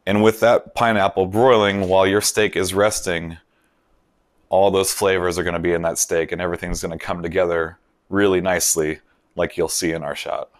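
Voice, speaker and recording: A man with a deep, low voice walks through a recipe as a cooking show host. He sounds relaxed and explains each step plainly. The sound is close and dry, with no room around it. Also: moderate pace, dry recording. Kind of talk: voice-over cooking instructions. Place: kitchen in a vacation villa.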